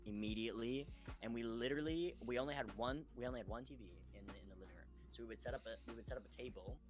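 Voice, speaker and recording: A man's voice: medium pitch, rapid-fire, music in the background. A young man chatting casually about video games as a podcast panelist, in informal speech peppered with filler words. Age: young adult. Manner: informal, repeats "like" often.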